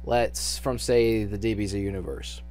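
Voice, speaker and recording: A man's voice: lowish voice, fairly even intonation, normal speed, a little mellow, faint music behind. A man giving an unscripted, casual audition announcement for a fan dub, speaking in a hesitant, rambling way with frequent restarts.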